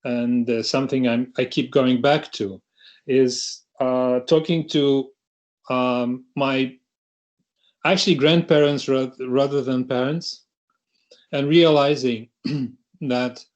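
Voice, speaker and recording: A man's voice: mid-range voice, steady tone, darkish tone, lo-fi sound. A middle-aged man from Russia, speaking hesitantly on a panel over a video call. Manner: hesitant, halting, many restarts. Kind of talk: online panel talk.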